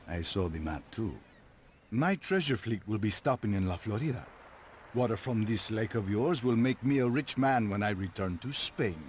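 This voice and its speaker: An actor playing an arrogant, greedy Spanish conquistador in a game cutscene, dismissive and boastful. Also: male voice, on the low side, lively intonation, normal speed, slight background noise, close to an everyday voice. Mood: greedy, smug. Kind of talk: video game cutscene acting.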